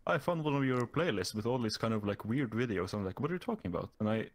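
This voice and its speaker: A man's voice: mid-range voice, animated, quick pace. A young man from Norway with a Norwegian accent, a guest chatting casually in an interview, hesitant with lots of fillers and restarts. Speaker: guest YouTuber.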